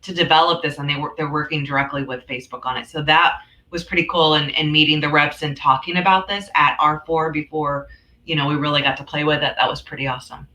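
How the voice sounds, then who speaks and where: A woman hosts a casual webinar with a bright, forward voice. She talks in a chatty, informal manner. The sound is roomy, with clear echo around her words. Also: sits low, fairly even intonation, quick pace. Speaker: host.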